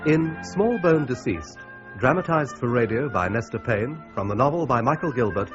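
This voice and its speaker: A man's voice: medium pitch, animated, moderate pace. A British man announces in a formal, scripted radio style. His mid-range voice sits over faint music, on a slightly dull broadcast recording. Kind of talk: formal scripted credits reading.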